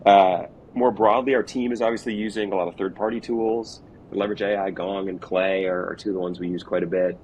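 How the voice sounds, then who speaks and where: A man's voice: steady tone, fairly quick, a little mellow. A man being interviewed as a business executive, explaining casually and informally in a conversational, loosely hedged way. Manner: informal, hedging with "kind of" and "like". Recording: noisy with low background rumble.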